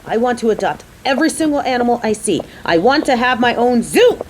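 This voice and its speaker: A woman's voice: on the high side, very animated, fairly quick, slight background noise, poor audio. A woman playing a caring, playful coworker on a date in an audio roleplay, talking to the listener in a motherly, teasing, chatty way. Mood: excited, playful.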